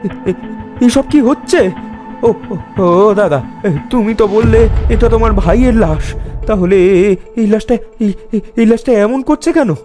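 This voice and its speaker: A young village man, voiced by a man in an animated horror tale keeps his voice firm and level. His voice sits slightly high. Music and rain play under him. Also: moderate pace. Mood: terrified. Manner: firm, then stuttering under fright. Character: steadier young village man, trying to keep calm. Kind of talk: animated horror story dub.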